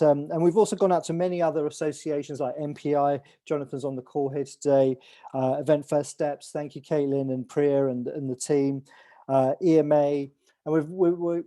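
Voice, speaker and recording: A man's voice: a little high, warm tone. A man hosting an online call, briefing on a competition in a rambling way, with restarts and fillers. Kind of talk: casual briefing on a video call.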